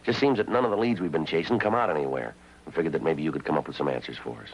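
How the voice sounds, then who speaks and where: An actor playing a weary, deadpan American police detective sergeant in clipped 1950s crime drama style. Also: male voice, very deep voice, rapid-fire, breathy edge, exaggerated voice. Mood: businesslike, weary. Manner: deadpan, matter-of-fact, dry. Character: terse, weary police detective sergeant, male, middle-aged. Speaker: lead detective and narrator. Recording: muffled 1950s TV soundtrack, music behind.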